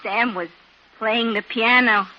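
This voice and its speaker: A woman's voice: a little high, steady tone, slow, sharp tone. A woman playing a placating, easily flustered young hostess in a 1950s radio drama. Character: fond, flustered young woman hostess courted by two men. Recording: muffled vintage radio, music behind.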